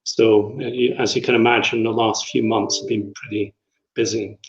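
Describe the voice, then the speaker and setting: A man's voice: on the low side, little pitch movement, normal speed, slight background noise. Scottish man on a roomy, dull call. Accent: Scottish, Edinburgh. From Scotland. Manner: rambling, self-deprecating, frequent ums. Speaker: interview guest, travel company director. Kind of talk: casual conversational interview. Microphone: video call.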